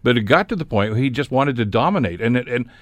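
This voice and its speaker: A man's voice: mid-range voice, lively intonation, fast, no echo. A man hosting a radio panel discussion, telling stories in an opinionated way and acting out quotes as he goes. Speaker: radio talk show host.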